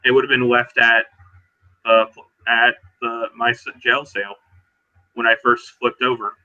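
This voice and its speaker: A man playing a haunted, uneasy werewolf who escaped captivity, speaking plainly and haltingly in a roleplaying game. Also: mid-range voice, little pitch movement, sharp tone, dull audio. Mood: earnest, halting.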